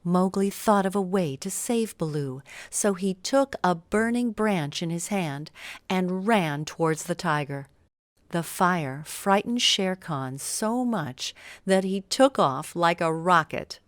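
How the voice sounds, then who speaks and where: Female storybook narrator, lowish voice, unhurried pace, expressive careful phrasing, dry close sound. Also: no room sound. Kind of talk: scripted children's bedtime story narration.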